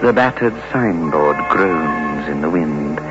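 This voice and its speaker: An actor playing a sinister, ghoulishly inviting horror host, narrating slowly and theatrically in old radio style. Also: male voice, very deep voice, lively intonation, heavily acted. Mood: eerie, foreboding. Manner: slow, theatrical, ominous. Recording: muffled old radio recording. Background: loud dramatic music.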